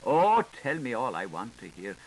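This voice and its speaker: A man's voice: mid-range voice, wildly swooping pitch, moderate pace, rough recording. A man voicing an eager, nosy washerwoman in a dramatic audiobook reading, insistent and full of questions. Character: eager, nosy Irish washerwoman hungry for gossip. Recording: hissy 1985 tape recording.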